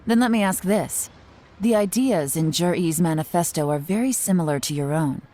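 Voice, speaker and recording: Low, mellow, composed female investigator voice actress. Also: natural voice. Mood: analytical, composed. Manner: measured, analytical, matter-of-fact. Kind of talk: video game voice acting.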